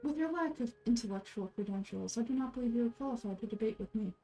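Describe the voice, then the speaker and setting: A woman's voice: on the high side, fast, warm tone, soft background music, natural voice. A woman voicing a condescending, pompous scholar with formal, dismissive speech in game dialogue. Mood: disdainful. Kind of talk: amateur game dialogue voice-over.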